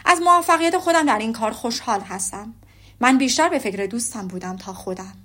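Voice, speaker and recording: A haughty young gentleman speaks in a loud, emphatic and formal way, as a woman narrator voices him. The storybook reading keeps his voice high. He presses every phrase with stiff pride. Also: lively intonation.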